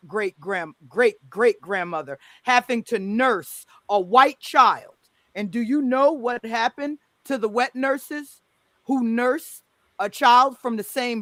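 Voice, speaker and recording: A moderator running a heated panel debate, speaking forcefully and driving home points with emphatic repetition. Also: medium pitch, no room sound.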